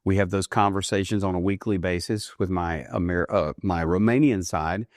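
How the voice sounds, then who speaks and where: A man in his fifties from the United States, answering interview questions earnestly, often rising in pitch for emphasis. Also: moderate pace, dry recording, clean studio sound. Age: 50s. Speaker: guest, ministry leader. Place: studio.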